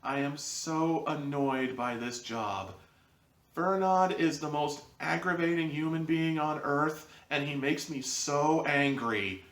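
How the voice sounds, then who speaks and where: A man's voice: a little high, fairly bright tone, voice pushed up. A man putting on a woman actor who reads audio drama lines in a stilted, bored, wooden way. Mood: flat, bored. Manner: stilted, slow, over-enunciated line reading. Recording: roomy, distant microphone.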